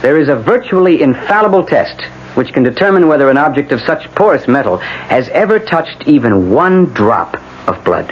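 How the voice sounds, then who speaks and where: Man playing a theatrical defense attorney, lively and insistent. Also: medium pitch, normal speed, close to an everyday voice. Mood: grave, theatrical. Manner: dramatic, rhetorical, emphatic. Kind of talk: 1970s-80s radio drama acting. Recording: dull, hissy old radio broadcast.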